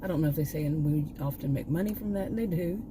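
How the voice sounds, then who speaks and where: A woman vlogger chatting casually, meandering and restarting her sentences, her voice going hoarse. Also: low voice, quick pace, background noise. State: losing her voice. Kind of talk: rambling casual chat. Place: outdoors.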